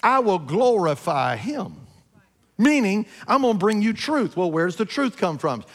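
A fifty-year-old man preaching a sermon to his congregation, emphatic, swinging between loud peaks and quiet asides and asking them questions. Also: high-pitched, very animated, measured pace. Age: 50s. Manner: addresses congregation directly with questions. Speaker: pastor. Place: church sanctuary.

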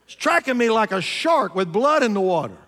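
Pastor, man, 50s, high voice, emphatic preacher who questions the congregation and drops to low asides. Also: sing-song, fairly quick. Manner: emphatic preaching, swinging between loud peaks and low asides; addresses congregation directly with questions. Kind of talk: sermon. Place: church sanctuary.

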